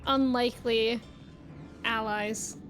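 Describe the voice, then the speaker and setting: A woman's voice: fairly high pitch, fairly even intonation, slow pace, fairly bright tone. A woman streaming a game, giving casual live reactions as she plays. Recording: game music behind the voice.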